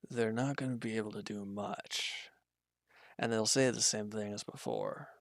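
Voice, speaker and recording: Man acting a low, creaky, weary injured villain. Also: steady tone, dry recording, clean studio sound. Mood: weary, reluctant. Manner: hesitant, halting, low-key, grudging. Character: injured, weary male villain, guarded but quietly considerate. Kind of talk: roleplay audio drama, intimate one-sided dialogue.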